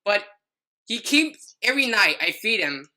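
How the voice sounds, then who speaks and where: A woman's voice: medium pitch, very animated, measured pace, bright, forceful tone. A young adult woman telling a personal story in casual podcast chat, rambling and often stumbling over her words.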